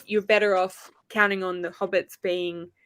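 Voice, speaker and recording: A woman's voice: fairly low pitch, little pitch movement, normal speed, fairly bright tone, clean tone, dry recording. A woman discussing books casually as a guest, reflective and often correcting herself as she goes. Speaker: guest panelist.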